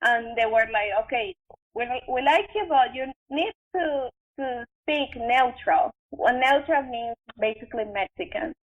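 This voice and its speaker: A young woman from Venezuela with a Venezuelan Spanish accent, telling her story casually and haltingly in an interview, with many fillers. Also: fairly high pitch, phone-quality sound. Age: young adult. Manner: halting, filler-heavy, conversational. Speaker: podcast guest, actress and chef.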